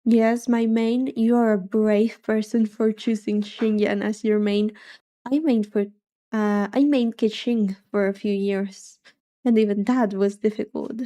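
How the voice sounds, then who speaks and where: A soft-voiced woman livestreamer chatting casually in a relaxed, wry way as she reacts and muses aloud. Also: mid-range voice, a little slow. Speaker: livestreamer, vtuber.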